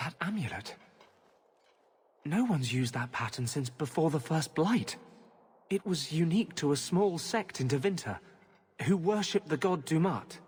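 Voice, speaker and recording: A man's voice: fairly high pitch, expressive, a little slow, a little mellow, slightly rough, full character voice. A man playing a skeptical, bookish mage in a fantasy video game, articulate, emphatic and argumentative. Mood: thoughtful, unsettled.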